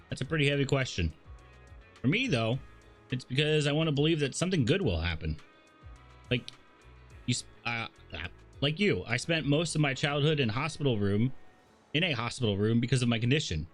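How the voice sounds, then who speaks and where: A man livestreaming a story game, reading its dialogue aloud with casual asides and the odd stumble. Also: mid-range voice. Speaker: streamer playing a story game.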